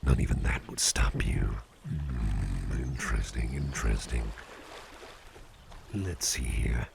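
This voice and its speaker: A man playing a calm, caring, playful businessman boss in a slow, intimate ASMR roleplay, speaking in a soothing, gravelly, very deep murmur. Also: heavy background noise, full character voice. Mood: curious, testing. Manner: soothing, unhurried, repeats short phrases.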